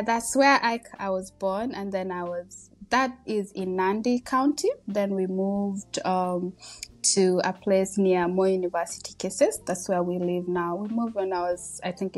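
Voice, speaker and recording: A woman in her thirties with a Kenyan accent, a podcast guest talking casually and humbly about her life, with many fillers. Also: mid-range voice. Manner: warm, humble, chatty with frequent fillers and laughs. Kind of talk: casual interview storytelling.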